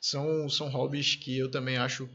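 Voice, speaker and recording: A man's voice: mid-range voice, level tone, normal speed, sharp tone, muffled recording. A man in his thirties from Brazil hosting a casual conversation, telling enthusiastic anecdotes about his family.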